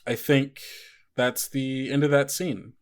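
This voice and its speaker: A man working as game master, explaining rules and narrating scenes in an informal, halting way. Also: mid-range voice, steady tone. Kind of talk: casual actual-play narration.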